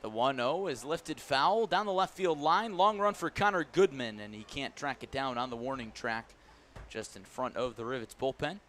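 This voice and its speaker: A man's voice: medium pitch, lively intonation, normal speed, fairly bright tone, slight background noise. An American man giving live radio play-by-play of a baseball game as the announcer. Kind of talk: live radio sports commentary.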